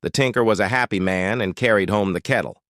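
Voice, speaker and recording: A man narrating a folktale as a storyteller, expressive and dramatic, with deliberate emphasis. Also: on the low side, no echo, studio quality.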